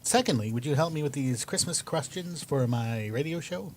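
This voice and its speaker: A man playing a polite, upbeat radio host in an amateur audio play, deliberate and courteous, with some noise behind. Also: on the high side, lively intonation, darkish tone.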